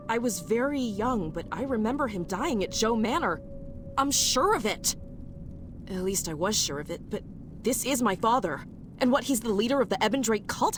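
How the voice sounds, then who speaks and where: An actress playing an anguished, determined young woman warrior in a video game, with an emotional, halting delivery. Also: fairly high pitch. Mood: disbelieving. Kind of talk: video game cutscene acting. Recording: music under the voice.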